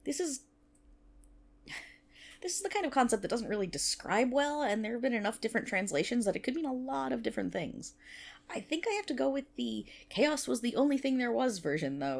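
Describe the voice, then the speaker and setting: Actress as nerdy best friend, female, ASMR roleplay. Lively, swooping intonation, mid-range voice, rambling, wry asides. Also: mid-range voice, gravelly. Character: nerdy, playful best friend rambling through Greek myths. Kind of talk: ASMR roleplay, casual one-sided chat to listener.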